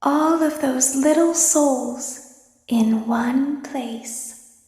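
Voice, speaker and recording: A woman playing a quietly vengeful, girlish animatronic in a video game, soft, whispery and taunting. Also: high voice, very slow. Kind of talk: video game character voice lines. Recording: echoey, reverberant hall.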